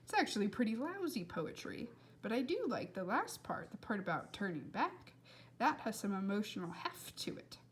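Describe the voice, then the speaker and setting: A woman's voice: mid-range voice, big pitch swings, moderate pace, a little hiss or hum, close to an everyday voice. A woman voicing a blunt, serious, pedantic boy who speaks precisely and dismissively in a storybook. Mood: dry, dismissive.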